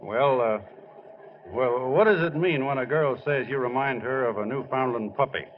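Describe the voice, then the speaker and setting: An actor playing a shy, eager, good-natured young rookie ballplayer in a 1940s radio drama, on an old recording. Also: male voice, medium pitch, moderate pace, narrow, tinny audio, slight background noise, natural voice. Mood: sheepish.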